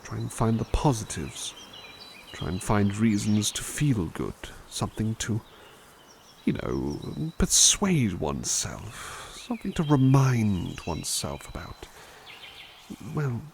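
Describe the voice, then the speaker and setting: A man playing a gentle, kindly butler who softly reads poems aloud in an ASMR roleplay and muses thoughtfully on each one. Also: mid-range voice, big pitch swings, takes it slowly, vocal fry, a little hiss or hum, exaggerated voice. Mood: musing. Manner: soft, musing, thoughtful asides between poems. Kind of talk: ASMR roleplay poetry reading.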